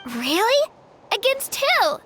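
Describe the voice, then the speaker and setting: A woman playing an encouraging, excitable young girl in an anime-style game. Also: very high-pitched, slow pace, a little hiss or hum, full character voice. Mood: excited.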